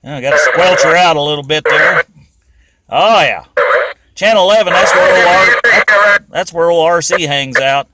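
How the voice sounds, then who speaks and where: A man's voice: fairly high pitch, normal speed, heavy background noise. An American man with a folksy, rambling, colloquial way of talking, giving casual hands-on commentary as he does DIY car repairs.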